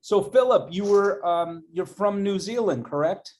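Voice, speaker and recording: Upbeat guy hosting an interview, kinda high voice, gushing. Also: unhurried, a little mellow. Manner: enthusiastic, effusive. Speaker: interview host. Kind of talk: upbeat video-call interview.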